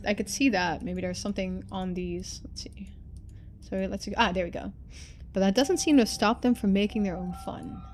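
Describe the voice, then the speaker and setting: A woman's voice: mid-range voice, a little mellow. A woman streamer giving casual playthrough commentary, thinking aloud and reading game text out.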